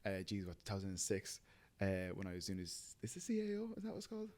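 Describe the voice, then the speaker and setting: A man's voice: deep voice, wildly swooping pitch, fast talker, darkish tone. A man in his thirties with an Irish accent, chatting casually as a podcast guest, rambling with plenty of fillers. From Ireland. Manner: rambling, full of fillers like "you know". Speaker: guest, tattoo artist.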